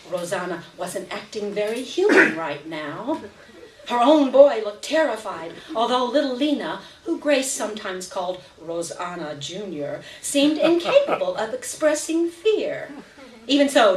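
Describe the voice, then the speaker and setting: Woman reading a story live, roomy and distant. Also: on the low side. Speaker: story reader.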